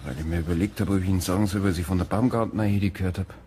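A man acting as a chatty, teasing Bavarian village cop in a radio drama. His voice is deep, with faint room noise behind it. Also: fairly even intonation, racing, dark tone, lightly acted. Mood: confiding. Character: gossipy, teasing small-town Bavarian policeman.

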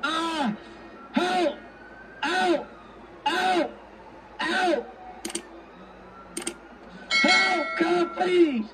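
A man playing a roleplay game on stream, excitable, slangy and profane, acting in character. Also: very high-pitched, extremely slow, pauses often, far from the mic, background noise.

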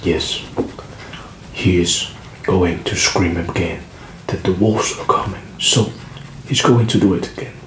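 Slow whispering man, echoey room. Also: low-pitched, fairly even intonation. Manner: soft whispering, slow and deliberate. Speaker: ASMR storyteller. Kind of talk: ASMR storytelling. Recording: echoey room with background noise. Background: gum chewing clicks.

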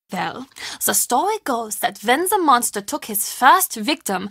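A woman's voice: fairly high pitch, bright, forceful tone, dry recording, clean studio sound, exaggerated voice. A woman acting a theatrical castle woman in scripted mystery game dialogue, with an emphatic, dramatic delivery. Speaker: voice actor in a mystery adventure game.

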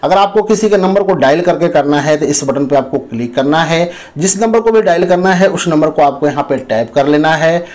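A man's voice: on the high side, fairly quick, hardly any pauses, darkish tone. A man hosting an informal step-by-step app tutorial, instructing viewers and addressing them as friends. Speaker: tutorial host.

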